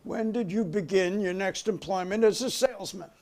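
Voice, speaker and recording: A man's voice: sits high, normal speed, a little mellow. An American man reading the part of a formal, insistent committee interrogator in a dramatic reading. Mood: pressing. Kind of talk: dramatic reading of a hearing transcript.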